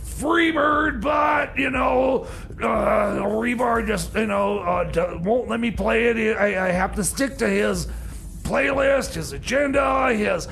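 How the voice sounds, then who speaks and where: A flustered, grumbling DJ acted by a man rambles through comedy radio banter in a high, heavily creaky voice. He stammers and doubles back on his words, with faint noise behind him. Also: a bit bright, shouting, heavily acted. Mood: aggrieved. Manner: halting, stammering, repeats himself. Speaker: radio DJ and host.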